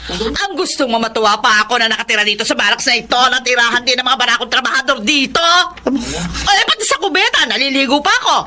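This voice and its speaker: A woman's voice: fast, pushed and bright. A woman playing a defiant, combative, aggrieved partner in a radio drama, with a Filipino accent. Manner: shrill, combative complaining. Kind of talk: Tagalog radio drama acting.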